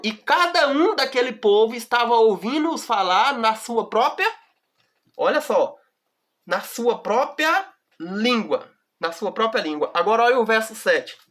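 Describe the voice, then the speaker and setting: A woman with a Brazilian accent teaching a Bible study, reading verses slowly and deliberately and often checking in with the listener. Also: fairly high pitch, fairly bright tone. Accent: Brazilian Portuguese.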